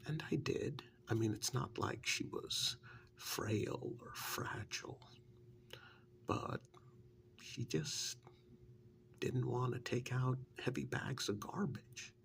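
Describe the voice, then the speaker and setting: A man voicing a witch's loyal, plain-spoken assistant in a soft-spoken ASMR role-play, half-whispering and telling his story in a halting, matter-of-fact way. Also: medium pitch. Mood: calm, fond reminiscing. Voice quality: soft, breathy half-whisper with gravelly fry.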